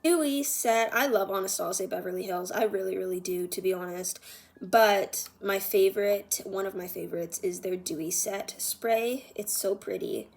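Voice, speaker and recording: A woman's voice: mid-range voice, moderate pace, vocal fry, a little mellow. A woman chatting casually through a makeup tutorial in an informal, meandering, self-deprecating way. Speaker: beauty vlogger.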